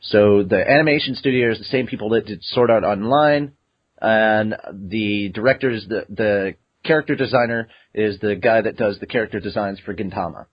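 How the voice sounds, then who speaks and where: A man hosts a fan podcast in loose, casual chat, excitable and joking as he rambles through plugs and news. His voice is husky. The audio is dull and lo-fi. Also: on the low side, normal speed. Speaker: podcast host.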